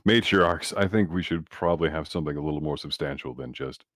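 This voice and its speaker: A man's voice: rumbling low, fast talker, a bit bright, no room sound, studio quality. A man playing a tabletop roleplaying game, talking casually in character with a wry, sardonic manner.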